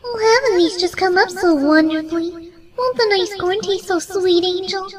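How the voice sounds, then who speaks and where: A man voicing a gentle, timid young female pony in a high, soft falsetto for a story reading. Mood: happy, sweet. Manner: sweet, drawn-out, gasping when upset.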